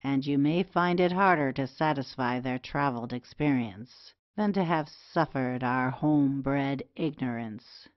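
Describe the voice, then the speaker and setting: A woman playing a melancholy, bitter gentleman suitor in an old comedy, speaking formally and with measure. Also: extremely low pitch, measured pace, hardly any pauses, no echo, dull audio. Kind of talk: Restoration comedy audio play acting.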